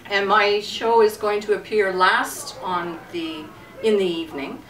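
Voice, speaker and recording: A woman's voice: medium pitch, fairly even intonation, a little slow, a bit bright. Echoey, distant woman explaining her show. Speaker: experienced amateur theatre director being interviewed. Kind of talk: unscripted interview talk. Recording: roomy echo, distant microphone.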